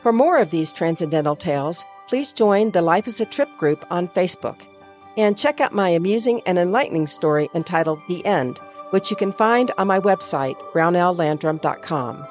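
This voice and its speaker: A woman hosting a storytelling group, reading a clear, steady scripted outro. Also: on the low side, moderate pace, soft background music.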